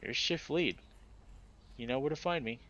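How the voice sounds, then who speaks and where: A man's voice: medium pitch, normal speed, fairly bright tone, pitched above the performer's own voice, natural voice. A man voicing a fed-up, nagging armadillo warehouse coworker in a visual novel. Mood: exasperated. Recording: dull, noisy home recording.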